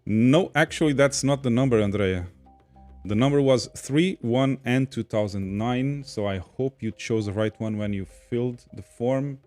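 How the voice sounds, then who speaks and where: A man's voice: medium pitch, unhurried, darkish tone, faint music behind. A man from Portugal with a Portuguese accent, casually hosting a livestream and reading viewer comments aloud. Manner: chatty, reads viewer comments aloud, laughs easily. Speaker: podcast host reading chat.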